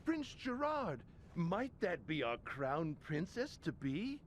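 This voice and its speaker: A fawning, eager peddler for a video game, swings his pitch wildly as he wheedles. He drags his words out theatrically, in a highish male voice over some rumbling noise. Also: sing-song, takes it slowly. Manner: wheedling, theatrical salesman delivery. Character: fawning, eager male castle merchant peddling dubious wares.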